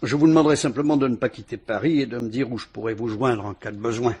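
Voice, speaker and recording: A man playing a patient police commissioner who speaks in a measured, deliberate, politely ironic way in a vintage radio drama. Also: medium pitch, fairly even intonation, darkish tone, breathy edge.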